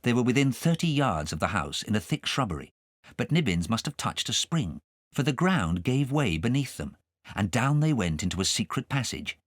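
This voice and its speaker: A man reading a children's story aloud as an expressive audiobook narrator. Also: medium pitch, moderate pace, no room sound, studio quality.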